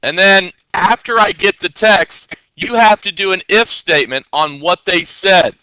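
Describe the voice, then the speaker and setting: Bright, crisp-voiced man teaching code. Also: sits high, a little slow, dry recording, low-quality recording. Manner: chatty, often checks in with the listener; loose, with many fillers and restarts. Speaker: coding teacher. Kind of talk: casual screen-share tutorial.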